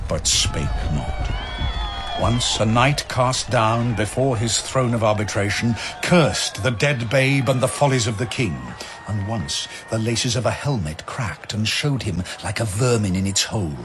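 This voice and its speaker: A man giving solemn, theatrical, measured narration of epic verse over atmospheric sound effects. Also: on the low side. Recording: clear ambient noise behind narration.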